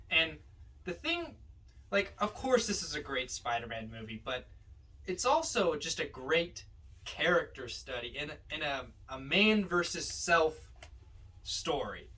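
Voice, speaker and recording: Male podcast co-host, slightly high bright voice, talking movies casually from off the mic. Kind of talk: casual film discussion. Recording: distant mic.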